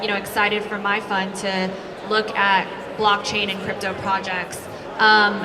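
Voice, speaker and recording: Even-toned woman in an echoing hall. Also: medium pitch. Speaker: venture investor, panelist. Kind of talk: self-introduction at a panel. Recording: echoey hall, distant mic, crowd.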